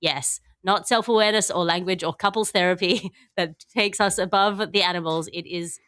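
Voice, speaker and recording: A woman's voice: fairly low pitch, fairly even intonation, normal speed, a bit bright. A woman hosting a comedy news podcast, wry and wordy, moving between mock-grandiose scripted lines and loose riffing. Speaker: podcast host.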